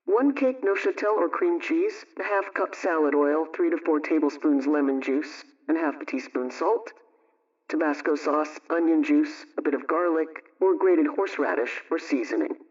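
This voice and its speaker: A man presenting a scripted homemaking radio program, reading out advice in a measured, instructional way. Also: on the high side. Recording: muffled, distant radio sound.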